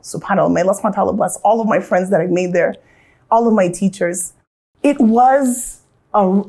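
A woman's voice: mid-range voice, a little mellow, husky. An American woman from Southern California, in her thirties, reflecting earnestly on her life in a casual, storytelling way, with frequent restarts.

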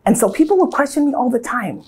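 A woman's voice: sits high, animated, fast talker, a little mellow, husky. An American woman from Southern California, in her thirties, reflecting earnestly on her life in a casual, storytelling way, with frequent restarts.

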